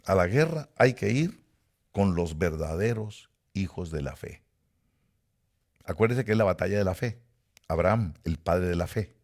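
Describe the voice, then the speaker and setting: A man's voice: measured pace, long pauses. A man from Costa Rica with a Guatemalan Spanish accent, preaching a sermon and telling personal stories. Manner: animated storytelling with sudden rises in pitch and volume.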